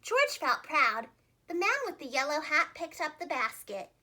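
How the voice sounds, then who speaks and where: Very high, animated woman storyteller. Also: moderate pace. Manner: animated, expressive, carefully enunciated. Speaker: storyteller, preschool teacher. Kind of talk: children's storybook narration.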